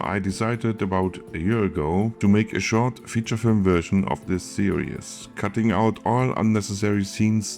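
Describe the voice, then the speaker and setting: A man with a German accent, a film editor reading a scripted video essay in a careful, measured way. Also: low-pitched, darkish tone.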